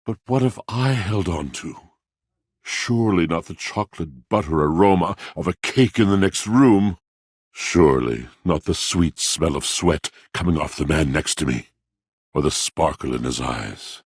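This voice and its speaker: An actor voicing a melodramatic, lovelorn, poetry-loving male character in a video game, with florid, grandiloquent delivery full of dramatic swells and pauses. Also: lively intonation, no room sound, clean studio sound, exaggerated voice. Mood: musing, melancholy. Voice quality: deep, gravelly.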